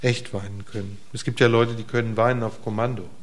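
A middle-aged man preaching a casual sermon to a congregation in a church, asking them questions and swinging between emphatic bursts and quiet, soft asides. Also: mid-range voice, steady tone, fairly quick. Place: church hall before a congregation.

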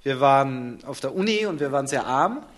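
A man's voice: mid-range voice, expressive, brisk. A man interpreting a sermon live, turning each short phrase into a fuller sentence right after the speaker.

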